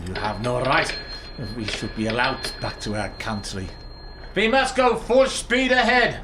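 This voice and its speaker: A man playing a stubborn foreign ship captain in a community radio play, defiant and halting with excitable outbursts. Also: medium pitch, noisy background.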